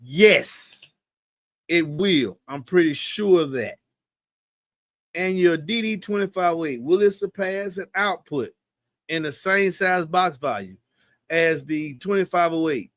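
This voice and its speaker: A man's voice: fairly high pitch, lively intonation, takes it slowly, fairly bright tone, no room sound. Dry, slangy man in his fifties. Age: 50s. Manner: loose, slangy, confident and talkative. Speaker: live stream host answering questions. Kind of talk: casual live Q&A chat.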